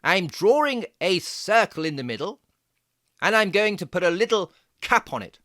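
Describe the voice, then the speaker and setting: A performer voicing a jaunty, fussy fox who gives playful, step-by-step drawing lessons for children in a theatrical, deliberate, encouraging way. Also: medium pitch, very animated, a bit bright, dry recording, studio quality. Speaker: presenter of children's drawing tutorial.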